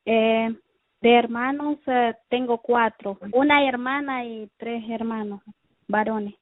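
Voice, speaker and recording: A woman tells her story of faith over a tinny, dry phone line. She speaks hesitantly, full of fillers and restarts, in a fairly high voice. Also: fairly even intonation, slow pace, no echo. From El Salvador. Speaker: caller giving a testimony. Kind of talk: personal testimony by phone. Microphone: telephone.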